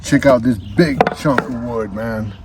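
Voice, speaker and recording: A man's voice: mid-range voice, a little slow, background noise. A man hosting a casual unboxing vlog, chatting to viewers in a folksy, slangy, laid-back way. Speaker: vlog host.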